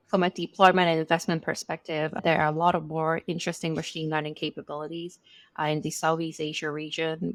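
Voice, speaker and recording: A woman's voice: low voice, gravelly, dry recording. A middle-aged woman from Hong Kong who is a venture capital partner, answering interview questions in a chatty way with many "you know"s.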